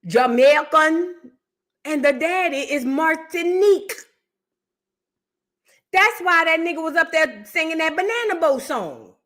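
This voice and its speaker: A woman hosts a casual reaction stream, talking loudly and with emphasis, repeating her punchlines and calling on viewers by name. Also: extremely high pitch, animated, unhurried, long pauses. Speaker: livestream host reacting to history content.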